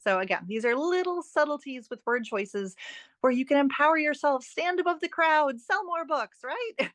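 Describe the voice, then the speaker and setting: A woman's voice: high-pitched, lively intonation, normal speed, fairly bright tone, no room sound. A woman guest on an interview, an author and editing expert explaining writing tips conversationally, with an emphatic, instructive manner.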